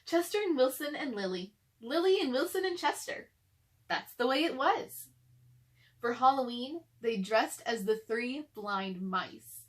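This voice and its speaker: A woman reads a children's storybook aloud as narrator. Her voice is fairly high, and she delivers it as read-aloud narration for young listeners. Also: animated, unhurried. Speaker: storybook reader.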